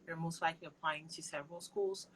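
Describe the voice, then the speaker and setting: A woman's voice: low voice, fairly even intonation, quick pace, fairly bright tone, slight background noise, poor audio. A young woman from Cameroon whose first language is not English, giving earnest and direct advice as an interview guest. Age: young adult. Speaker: interview guest, PhD student.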